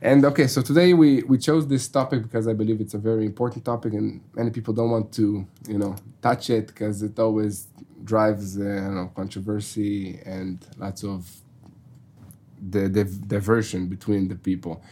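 A man's voice: on the low side, flat delivery, normal speed, warm tone. A man hosting a faith podcast, asking friendly questions with frequent fillers. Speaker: podcast host. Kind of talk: casual interview hosting.

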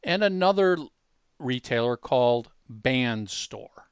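Clear-voiced man hosting a podcast. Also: medium pitch, expressive, no room sound, studio quality. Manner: emphatic, halting with repeated word starts. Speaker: podcast host. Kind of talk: conversational product demo.

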